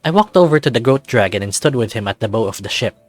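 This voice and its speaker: A man's voice: medium pitch, animated, fast, no room sound. Man reading a game aloud over music. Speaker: player reading a visual novel aloud.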